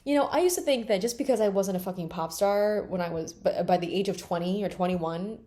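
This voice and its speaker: A woman's voice: mid-range voice, brisk, dark tone. A woman in her late twenties talking bluntly and emphatically in a candid personal vlog, swearing freely. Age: 20s. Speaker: vlogger.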